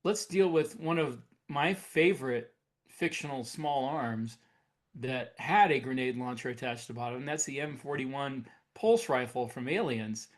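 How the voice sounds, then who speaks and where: A man co-hosting a podcast, talking casually and conversationally with halting, searching phrasing. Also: mid-range voice. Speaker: podcast co-host, science fiction author.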